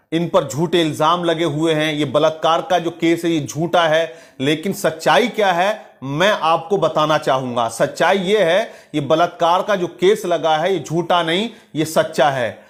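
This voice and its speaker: Male commentator, high-pitched voice, preachy and condemning, opinionated religious news delivery. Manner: preachy, denunciatory, quoting religious sayings. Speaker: commentator and presenter of an online channel.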